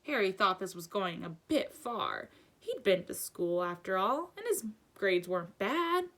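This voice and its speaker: A woman teacher reading a children's story aloud with expressive narration. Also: mid-range voice, a little slow.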